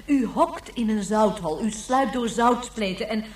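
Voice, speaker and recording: An actress playing an earnest woman who argues in a measured, insistent way in a science fiction radio drama. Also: a little high, lightly acted. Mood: puzzled, probing. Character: earnest, probing woman defending endless life extension. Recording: echoey, distant, faint hum.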